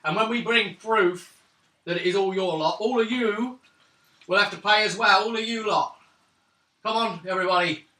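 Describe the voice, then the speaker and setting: A man voicing an angry male villager leading a mob, loud and threatening. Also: sits high, moderate pace, pauses often, pitched above the performer's own voice. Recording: roomy room, mic far off.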